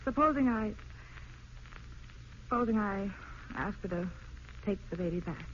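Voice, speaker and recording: A woman's voice: medium pitch, expressive, a little slow, a bit bright. An actress playing a strained young wife and mother in a vintage radio drama, with a proper, emotive delivery. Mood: sad, resigned. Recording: muffled old radio broadcast, faint noise.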